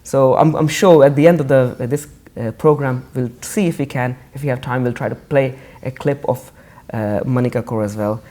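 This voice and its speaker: A man's voice: medium pitch, moderate pace, hardly any pauses, warm tone. A man hosting a TV interview, eagerly affirming and speaking with many fillers. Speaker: TV interviewer, host.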